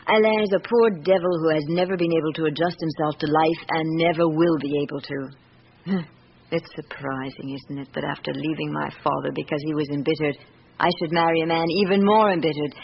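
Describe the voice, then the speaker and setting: An actress with a British accent playing a guarded, wistful middle-aged wife in a radio drama, composed and reflective. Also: female voice, deep voice, fairly even intonation, a little fast, gravelly. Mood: wistful, earnest. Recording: dull, noisy old radio recording.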